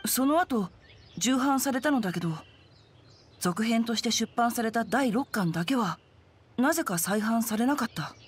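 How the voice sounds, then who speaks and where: A woman's voice: a little high, lively intonation, normal speed, a little hiss or hum, natural voice. A woman voicing a courteous, righteous, bookish teenage boy in an anime game, composed and polite. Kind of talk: Japanese anime game dubbing.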